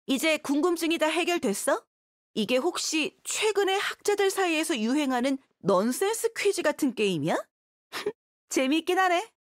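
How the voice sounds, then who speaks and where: A woman's voice: high-pitched, no room sound, clean studio sound. An actress voicing a confident, seasoned young mercenary swordswoman in a video game, frank and self-assured. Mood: amused.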